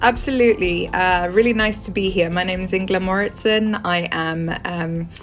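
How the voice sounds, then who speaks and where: A woman in her forties from Sweden with a British accent, a podcast guest who answers at length with many pauses, ums and restarts. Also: fairly low pitch, steady tone, soft background music. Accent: British English. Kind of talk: conversational interview answers.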